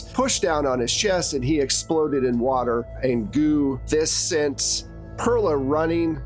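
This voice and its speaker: A man narrating a tabletop roleplaying game as game master, telling the story conversationally and speaking straight to the players. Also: medium pitch.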